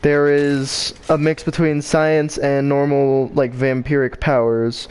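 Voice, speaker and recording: A man's voice: mid-range voice, little pitch movement, takes it slowly, a little mellow. A man hosting a stream in casual chat, easygoing and summing up what his guest says. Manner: easygoing, sums up what he hears. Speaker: streamer host.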